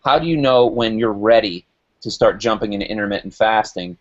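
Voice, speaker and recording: A man's voice: lowish voice, brisk, muffled recording. A man in his late thirties co-hosting a health show, talking in a chatty, loose and rambling way. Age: 30s. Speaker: co-host. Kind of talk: casual chat.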